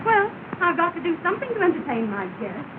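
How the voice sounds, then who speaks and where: An actress playing a fretful, dramatic high-society hostess in a theatrical 1930s film style. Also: female voice, sing-song, quick pace. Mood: fussing. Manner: theatrical, fussy. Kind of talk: early 1930s film acting. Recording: noisy, tinny 1930 film soundtrack.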